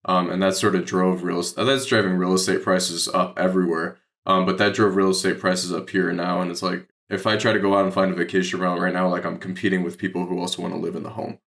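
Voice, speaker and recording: Low, gravelly American man talking casually. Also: flat delivery, fast talker, few pauses, fairly bright tone. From Detroit, Michigan, United States. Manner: rambling, heavy use of "like". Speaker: podcast guest, real estate investor. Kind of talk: casual conversational explaining.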